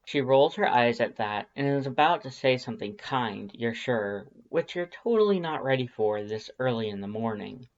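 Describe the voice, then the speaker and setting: An audiobook narrator reading second-person fan fiction in an expressive storytelling style. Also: medium pitch, moderate pace, few pauses, muffled recording.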